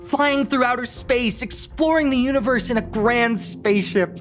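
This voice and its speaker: A man voicing a jaded, self-deprecating, excitable office worker in a video game, theatrical and sardonic. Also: very high voice, normal speed, faint music behind. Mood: incredulous, sardonic.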